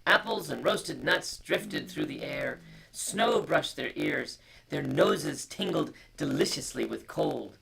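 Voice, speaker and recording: A storytime host reading a picture book aloud in a hushed way that drops into whispers, and chatting casually. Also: medium pitch, big pitch swings, slow pace, creaky, a crowd in the background, poor audio.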